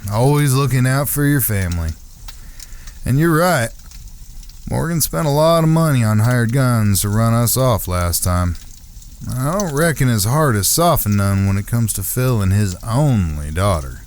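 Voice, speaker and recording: An actor playing a hot-tempered, trigger-happy outlaw in a Western audio drama, with an American Southern accent and a folksy, grumbling manner. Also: male voice, mid-range voice, lively intonation, unhurried, lightly acted. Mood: sly, agreeable.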